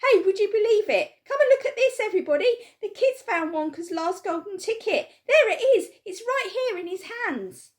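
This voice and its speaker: A woman voicing a fat, excitable male shopkeeper who shouts in a high, breathless voice. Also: moderate pace, few pauses. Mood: overjoyed shouting.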